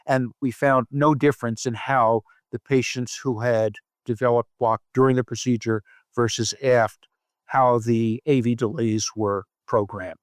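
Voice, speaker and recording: A man speaking as a physician researcher in an interview, explaining findings in a measured, methodical and precise way. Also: mid-range voice, fairly even intonation, no room sound.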